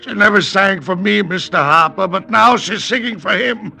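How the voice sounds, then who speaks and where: An actor playing a haunted, guilt-ridden, half-mad sea captain in a vintage radio drama, intense and melodramatic, with a rough voice. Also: male voice, high voice, moderate pace, bright, forceful tone, full character voice. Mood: frantic, desperate. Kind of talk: classic radio drama acting.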